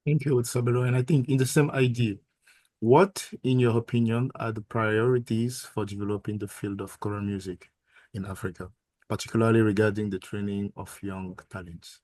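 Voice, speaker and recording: Man interviewer, lowish voice, polite and formal, dry sound. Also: steady tone, moderate pace, darkish tone, dry recording.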